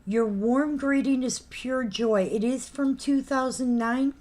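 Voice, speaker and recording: A woman's voice: high voice, a little slow, darkish tone. A woman co-hosting a live collectibles stream while losing her voice, reading out item numbers and trading dry, teasing banter. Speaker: co-host of a live collectibles show.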